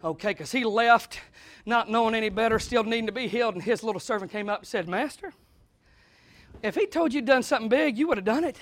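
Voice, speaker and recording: A man preaching a sermon in a small church, dropping to quiet talk and then rising into shouted preaching. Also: fast talker, no echo. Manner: swings from low conversational talk to shouted preaching. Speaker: pastor.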